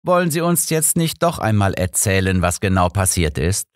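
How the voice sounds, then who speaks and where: Man voicing a blunt old detective, pitched up. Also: sing-song, normal speed, no echo, clean studio sound. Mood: cool. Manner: blunt, cool, authoritative. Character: old male detective, cool, blunt, exasperated, clipped. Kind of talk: audiobook character dialogue.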